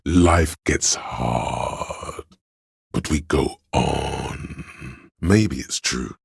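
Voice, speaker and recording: Cavernous, gravelly, grandiose inner muse. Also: male voice, studio quality, heavily acted. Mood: grandiose, theatrical, then brooding, poetic. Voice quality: very deep, gravelly, husky. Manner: slow dramatic pauses, deliberate, portentous. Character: inner voice of a detective's imagination, grandiose, artistic, wry. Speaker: video game voice actor. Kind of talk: theatrical, literary game narration.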